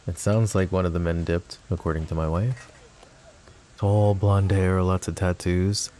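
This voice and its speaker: Deep, mellow, suave mafia boss acted by a man. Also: level tone, measured pace, a little hiss or hum. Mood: calm, composed. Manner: smooth, teasing, mock-polite. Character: suave possessive yandere mafia boss, flirty and scheming. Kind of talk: intimate ASMR roleplay acting.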